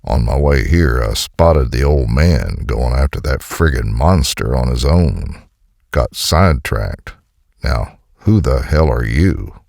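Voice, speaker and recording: An actor playing a gruff, sardonic American colonel, a hardened wisecracking commando, in an audio comic. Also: male voice, very deep voice, animated, slow, a little mellow, no room sound, studio quality, full character voice. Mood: brusque, suspicious. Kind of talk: dramatic audio comic dialogue.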